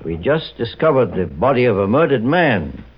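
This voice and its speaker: An actor playing a shrewd, formal American investigator, probing and commanding, in an old-time radio drama. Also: male voice, mid-range voice, big pitch swings, normal speed, slight background noise, close to an everyday voice. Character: shrewd, authoritative male private investigator, calmly probing. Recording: dull 1940s-50s radio recording.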